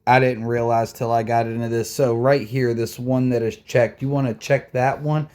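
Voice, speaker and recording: A man's voice: medium pitch, little pitch movement, moderate pace, a little mellow. Casual man giving a how-to walkthrough. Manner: informal, conversational, explaining step by step. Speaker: tutorial host, radio hobbyist.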